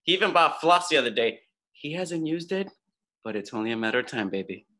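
A man's voice: fairly high pitch, big pitch swings, a little fast, pushed and bright. A man in his thirties playing a hot-headed, wounded young chef in a naturalistic table read, slangy and exasperated. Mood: pleading. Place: room at home, video call.

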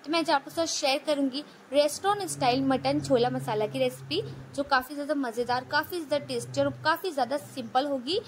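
A woman hosting a cooking channel, explaining a recipe step by step in a steady voice-over and often repeating her phrases. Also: high-pitched, brisk, a little hiss or hum, poor audio.

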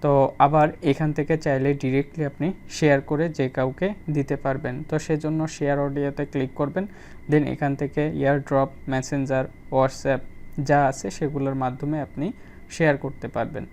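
Man, very even tone, tutorial voice-over. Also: mid-range voice, moderate pace, a little hiss or hum. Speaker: tech tutorial host. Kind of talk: spoken phone tutorial voice-over.

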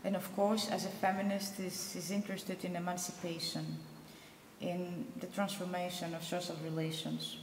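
A low-pitched, middle-aged woman introduces a speaker at a conference, pausing often with ums and uhs. She speaks into a handheld mic at a lectern, and her voice rings through a large hall with a long, roomy reverb. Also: little pitch movement, slight background noise. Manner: halting, with frequent ums and uhs. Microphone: handheld microphone.

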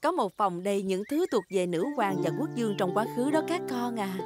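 A woman voicing a gentle, patient mother pig who explains things simply to children in a Vietnamese-dubbed cartoon. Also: medium pitch, expressive, brisk, natural voice. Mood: gentle explaining. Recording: long echo, music behind.

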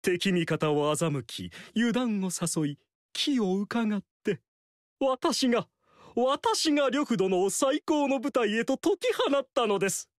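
An actor playing a vain male military strategist in a game, boastful and theatrical, with dialogue that swings between loud pride and soft slyness. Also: high-pitched, expressive, slow pace, no room sound, clean studio sound. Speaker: game character voice. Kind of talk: Japanese game dialogue acting. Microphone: dry studio mic.

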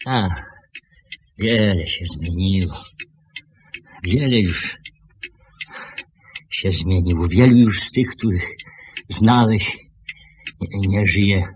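An old, lonely shopkeeper in a radio drama. His voice is lowish and very slow, gentle and hesitant, stumbling back over his words. There is clear noise behind him. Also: rough recording. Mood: hesitant, reminiscing. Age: older. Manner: halting, repeating words, stammering. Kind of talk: radio play acting.

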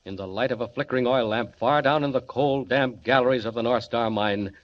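An actor playing a grave, suspenseful narrator of a 1940s radio adventure serial. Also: male voice, mid-range voice, a little fast, natural voice. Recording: dull, noisy old radio recording.

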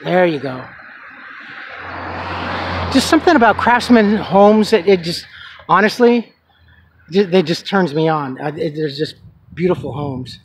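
A man's voice: on the high side, animated, measured pace, pauses often. Chatty man on a street walking tour. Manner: chatty and rambling, with fillers. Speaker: vlogger giving a walking tour. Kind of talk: casual walking-tour narration. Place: outdoors on a residential street. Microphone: handheld camera, filming as he walks. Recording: outdoor noise and passing traffic. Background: traffic and street ambience.

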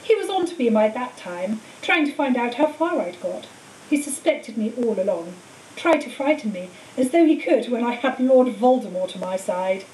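A woman voicing a cold, treacherous male wizard teacher in an audiobook, speaking in a calm, menacing, matter-of-fact way. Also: fairly high pitch, moderate pace, lightly acted. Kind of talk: audiobook character voice. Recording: roomy echo, distant mic, faint hiss.

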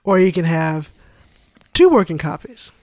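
A woman co-hosting a casual podcast chat, agreeable and quick with short replies. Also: on the low side, sing-song, a little mellow, no room sound. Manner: agreeable, frequent short backchannels. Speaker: podcast co-host.

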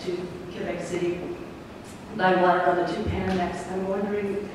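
Audience member, man from Syracuse, high voice, polite and wordy, distant and echoey. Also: flat delivery, moderate pace. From Syracuse, New York. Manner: polite, talkative, hesitant. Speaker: audience member asking a question. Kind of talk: conference Q&A question. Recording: far-off mic, echoey hall, background noise.

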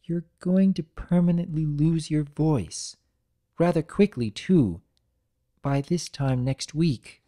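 A man voicing a professional female nurse pony, gentle and formal, in a dramatic reading of a cartoon story. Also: on the high side, takes it slowly, pitched above the performer's own voice. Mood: sympathetic.

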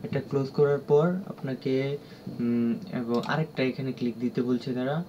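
A man with a Bangladeshi accent giving an unscripted app tutorial in a halting, repetitive, instructional way. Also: medium pitch, steady tone, mellow. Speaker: tutorial presenter. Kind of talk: unscripted screen-recorded app walkthrough. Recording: dull lo-fi audio with clear background noise.

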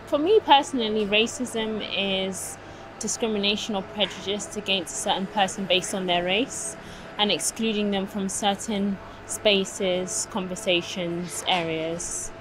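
Young london woman talking on the street, deliberate, loud street noise behind. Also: medium pitch, fairly even intonation. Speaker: HR worker. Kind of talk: casual street interview. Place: outdoors on a city street.

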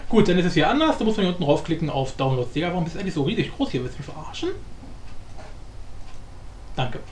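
A man giving a casual software tutorial, rambling informally in slang and thinking aloud. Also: on the high side, sing-song, fast. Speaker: tutorial host. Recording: echoey room, faint background noise.

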